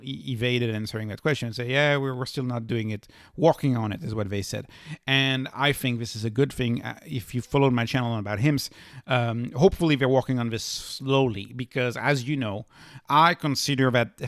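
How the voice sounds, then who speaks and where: Male, mid-pitched voice, emphatic, informal stock commentary, channel host, close dry sound. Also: a bit bright, dry recording.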